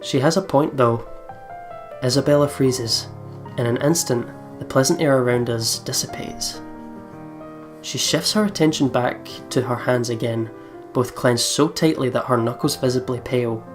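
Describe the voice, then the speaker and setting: A man's voice: mid-range voice, moderate pace. Man reading a game story over faint music. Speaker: let's play host reading the story aloud. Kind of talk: expressive story reading with casual game commentary.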